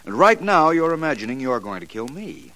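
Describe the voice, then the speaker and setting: An actor playing a suave, clever detective in a 1940s radio drama, explaining in measured tones. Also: male voice, fairly high pitch, sing-song, bright, forceful tone, rough recording. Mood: calm. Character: suave male detective, calm and cerebral.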